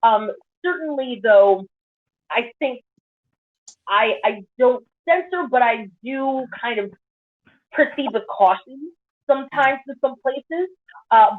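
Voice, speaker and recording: A woman pole dance instructor telling stories on a panel, animated with quick asides, heard over a video call. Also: high voice. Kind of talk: casual panel discussion. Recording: tinny phone-like video call audio.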